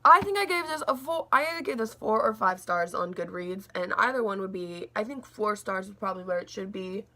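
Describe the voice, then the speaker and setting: A young woman talks through a book review in loose, rambling vlog chat. Her throat is a little scratchy, giving her middle-pitched voice a slight gravel. She circles back on herself, piling up fillers and restarts. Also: bright, forceful tone. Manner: rambling, says like a lot, restarts often.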